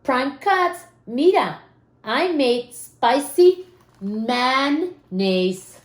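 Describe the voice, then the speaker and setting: A woman's voice: sits high, expressive, drawn-out words, heavily acted. A woman voicing a doting, fussy zombie mother in a children's storybook, speaking emphatically with Spanish endearments. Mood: doting, coaxing. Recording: roomy, echoing room sound.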